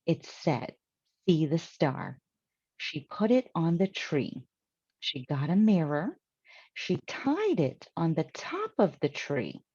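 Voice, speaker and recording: A woman with an American accent reads a children's story aloud in an animated, deliberate way. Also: low-pitched, slightly rough, no echo, lo-fi sound. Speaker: storytime reader.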